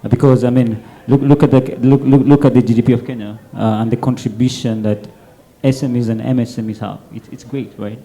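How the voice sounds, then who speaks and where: A man speaking on a panel, halting, with many fillers and repeated words. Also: mid-range voice, steady tone, warm tone, rough recording. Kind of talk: panel discussion.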